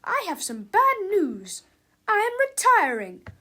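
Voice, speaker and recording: A mean schoolteacher from a children's storybook. Her voice sits very high and swoops sharply as she barks orders. She is bossy and harsh, snapping and yelling at her class. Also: big pitch swings, moderate pace, heavily acted. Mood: bossy, snappy. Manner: harsh, shouting, commanding. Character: mean, bossy woman schoolteacher who snaps and yells.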